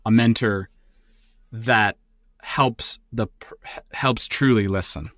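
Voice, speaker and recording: A talkative man reflecting on listening as a guest, animated and self-aware. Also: fairly low pitch, slow, dry recording. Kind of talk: reflective interview answer.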